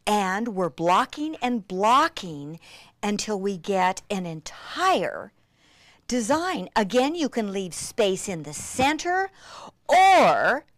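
Female craft demonstrator, breathlessly enthusiastic, unhurried delivery, close dry sound. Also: medium pitch, no echo. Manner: gushing, breathlessly excited, with frequent gasps and exclamations.

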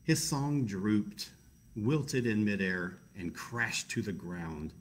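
A man's voice: on the low side, animated, slow pace. A man reading a picture book aloud to children in a casual, chatty way, with self-deprecating asides. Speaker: library storytime reader.

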